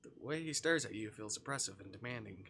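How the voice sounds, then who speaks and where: Guy streamer reading a visual novel out loud, super lively pitch, bright voice, jokes around, bit of noise behind. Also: fast. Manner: informal, jokey, swearing, reacting to the story. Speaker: live streamer. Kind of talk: reading a visual novel aloud with casual asides.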